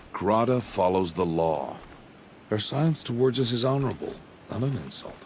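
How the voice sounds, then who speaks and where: Stern, stoic male hunter-mentor man acting, game drama, deep and measured, formal. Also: animated, darkish tone, a little hiss or hum, lightly acted. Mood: stern, resigned. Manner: grave, measured, formal speech. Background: music.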